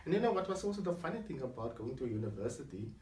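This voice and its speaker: A man's voice: mid-range voice, big pitch swings, racing, darkish tone, slight background noise. A South African man in his forties whose first language is Afrikaans, earnestly telling his life story as an interview guest. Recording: echoey room, mic at a distance.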